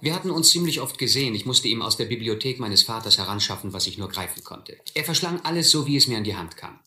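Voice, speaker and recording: A middle-aged actor playing a reflective man who recalls his boyhood, narrating a radio play in measured, literary style. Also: medium pitch, expressive, bright, forceful tone. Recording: echoey old recording, faint noise.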